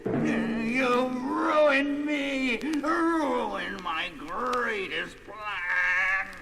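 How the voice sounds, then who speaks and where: An actor playing an embittered, half-blind underground tyrant in a 1970s superhero record melodrama, speaking in grandiloquent, theatrical phrases. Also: male voice, very high-pitched, drawn-out words, vocal fry, exaggerated voice, poor audio. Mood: anguished. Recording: voice over loud dramatic music.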